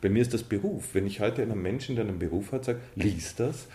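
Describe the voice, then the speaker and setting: Deep, mellow man, roomy sound. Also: quick pace. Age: 50s. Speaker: author and director being interviewed. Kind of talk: reflective interview talk. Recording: roomy, reverberant interview sound.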